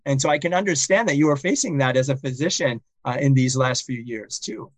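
A man's voice: medium pitch, a little fast, no room sound. A man hosting a podcast interview, repeating words as he starts his questions and remarks. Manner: warm, stammering repeats at phrase starts. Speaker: podcast host. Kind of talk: casual interview conversation.

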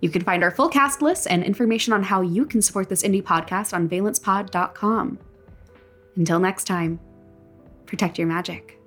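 A woman's voice: medium pitch, moderate pace, a bit bright, soft background music. A woman, the creator of a fiction podcast, reading scripted credits and announcements in an informal way.

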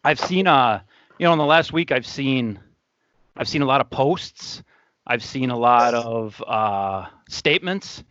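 A man's voice: mid-range voice, animated, a little slow, a bit bright, no room sound, dull audio. A man hosting a podcast in a casual, earnest, conversational way. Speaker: podcast host.

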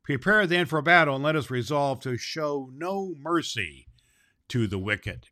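A man's voice: fairly high pitch, lively intonation, unhurried, bright, forceful tone, dry recording, studio quality. A man voicing a stern immortal forest master in a storybook, solemn and declamatory as he rallies his folk. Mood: grave, resolute.

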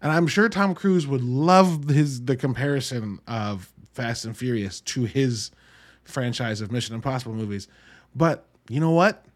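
A man hosting a podcast, giving an informal, wry solo review in an emphatic, halting way with drawn-out words. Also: mid-range voice. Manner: informal, wry, mildly profane. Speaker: podcast host reviewing films. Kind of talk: casual solo review monologue.

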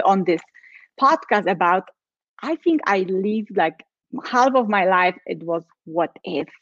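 A woman's voice: mid-range voice, no room sound. Polish woman, earnest and heartfelt, kinda muffled dry sound. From Poland. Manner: earnest, tells her story with feeling, acts out quoted voices. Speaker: guest, change and transition coach. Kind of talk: personal story in a podcast chat.

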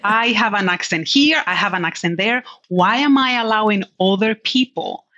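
A woman from the Dominican Republic, a native Spanish speaker with a Dominican accent, telling her story as a podcast guest in a candid, animated way. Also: medium pitch, moderate pace. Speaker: guest, tech executive. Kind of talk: conversational podcast interview. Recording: dull lo-fi audio.

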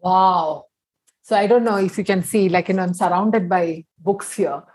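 A woman's voice: on the low side, normal speed, a little mellow, breathy edge. A middle-aged woman from Kerala in India, whose first language is Tamil, chatting as a guest on a video call and telling stories with many fillers. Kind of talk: casual interview chat.

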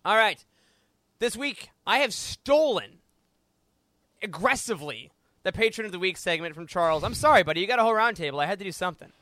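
A man's voice: high voice, expressive, brisk, bright, forceful tone, no echo. A man co-hosting a podcast in a casual, enthusiastic and playful way. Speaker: podcast co-host. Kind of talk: casual podcast hosting and reading out a listener's letter.

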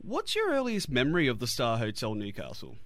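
A man's voice: medium pitch, huge pitch swings, a little fast, fairly bright tone, no echo. A man with an Australian accent hosting a radio interview, chatty and a little hesitant, with fillers. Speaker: radio host.

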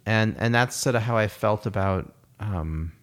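A man's voice: on the low side, a little slow, fairly bright tone. A man talking in a casual conversation, reflective and rambling, with many fillers and restarts. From United States.

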